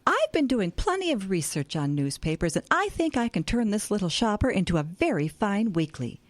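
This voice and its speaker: Naive, breezy grandma for radio play, woman performer; very animated melody, dry recording. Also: mid-range voice, normal speed, no echo, lightly acted. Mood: earnest, defensive. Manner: airy, breezy, scatterbrained. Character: cheerful, naive hippie grandmother starting a small newspaper. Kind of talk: audio drama acting.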